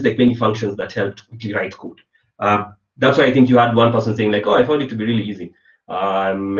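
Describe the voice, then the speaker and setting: A young man from Kenya giving a casual online programming lesson, chatty and loose, full of fillers and restarts. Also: medium pitch. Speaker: programming instructor. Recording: roomy, distant, dull webcam sound.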